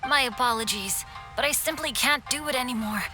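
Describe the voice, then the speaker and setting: A woman's voice: high-pitched, fairly quick, bright, forceful tone. A woman playing a worn-out, apologetic young pupil in a video game, pleading wearily. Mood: weary, pleading. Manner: pleading, sighing. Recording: music under the voice.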